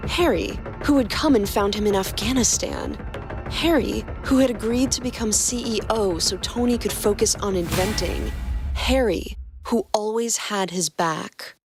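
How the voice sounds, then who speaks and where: A woman narrating a fan fiction story in a steady reading voice. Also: mid-range voice, unhurried, over music. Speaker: podcast co-host narrating fanfiction.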